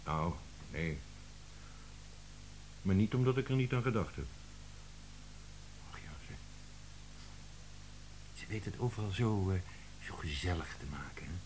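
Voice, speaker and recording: An actor playing a blustering, sardonic man at a publishing house with a sentimental streak, in a Dutch radio play, speaking in theatrical, halting exclamations. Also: low voice, animated, background noise. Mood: rueful.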